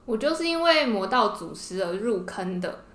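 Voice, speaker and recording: A woman's voice: mid-range voice, lively intonation, normal speed, a bit bright. A woman with a Taiwanese Mandarin accent co-hosting a podcast in casual, gushing fangirl chat. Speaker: podcast co-host. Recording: roomy indoor echo.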